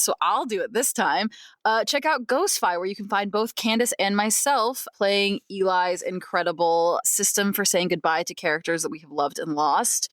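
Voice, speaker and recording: A woman's voice: mid-range voice, a bit bright, no echo. A woman chatting casually and playfully on a podcast, with a wry way of talking. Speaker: tabletop role-play performer.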